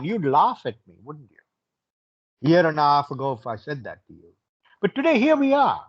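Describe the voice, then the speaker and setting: Animated, high-voiced older man, husky. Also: highs cut off. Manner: emphatic, repeats phrases for stress. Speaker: hotel general manager, guest speaker. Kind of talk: motivational talk to students.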